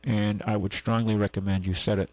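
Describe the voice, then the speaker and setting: A man's voice: on the low side, flat delivery, brisk, a little mellow, rough recording. A man hosting a call-in radio show, giving folksy, rambling car advice and correcting himself as he goes.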